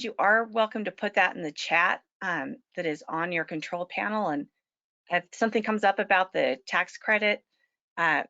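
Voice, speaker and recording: Hoarse female presenter, lower pitch, fry, steady explaining with ums, dull web audio. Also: no room sound. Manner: clears throat often, many ums. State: a little hoarse from allergies. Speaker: webinar presenter. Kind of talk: informational webinar presentation.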